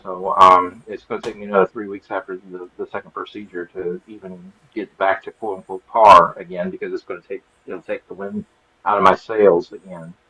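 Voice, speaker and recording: A man hosting a live show, talking in a rambling, halting way with many restarts. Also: low voice, fairly even intonation, sharp tone, breathy edge, rough recording. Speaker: show creator and host. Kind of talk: casual chat on a live show.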